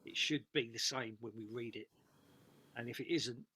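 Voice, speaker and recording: A man's voice: medium pitch, normal speed, bright, forceful tone, breathy edge. A man giving casual feedback as a script panellist, speaking emphatically and repeating phrases for stress.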